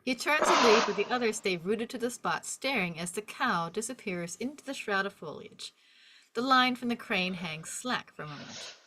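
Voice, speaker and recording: A woman's voice: medium pitch, lively intonation, normal speed, background noise. A woman reading stage directions as a scripted narrator, in a vivid descriptive storytelling manner.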